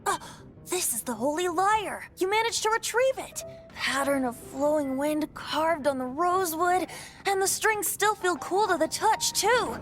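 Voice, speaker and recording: A woman's voice: extremely high pitch, a little slow, a bit bright, soft background music. A woman voicing a youthful, mischievous boy bard in a video game, breezy and theatrical. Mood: welcoming, nostalgic. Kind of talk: video game character acting.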